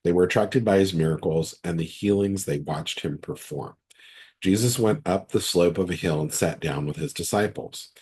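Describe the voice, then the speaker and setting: A deep-voiced man with a husky, rough throat from a cold talks through a Bible passage. He reads the scripture, then teaches in a casual, conversational way from his computer, often circling back to restart a thought. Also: normal speed, a little mellow. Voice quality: raspy, very deep voice. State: hoarse from a cold. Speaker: pastor. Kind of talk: online midweek Bible study, reading scripture and teaching.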